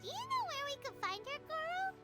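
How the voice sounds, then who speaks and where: A woman voicing a tiny, chirpy, childlike fairy companion with a squeaky voice in an anime video game. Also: huge pitch swings, fairly quick, heavily acted. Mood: perky, helpful. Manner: speaks of herself in third person, perky. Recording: loud game music underneath.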